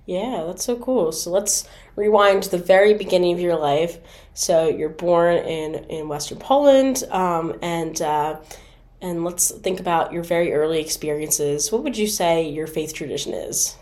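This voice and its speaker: A woman's voice: fairly low pitch, normal speed, darkish tone. A woman in her twenties, a student hosting a campus podcast, asking questions in an upbeat and encouraging way. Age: 20s. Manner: upbeat, encouraging, many affirming interjections. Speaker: podcast host. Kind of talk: interviewing.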